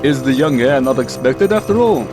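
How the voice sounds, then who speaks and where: A man playing a brusque coach driver in a radio drama, calling out loudly over music. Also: medium pitch, lively intonation, moderate pace, lightly acted. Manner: loud, calling out. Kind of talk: 1930s radio drama acting.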